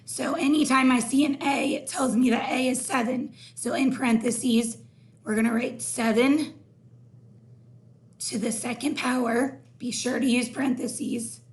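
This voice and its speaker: A hoarse woman teaching a recorded math lesson, explaining each step slowly. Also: on the high side, steady tone.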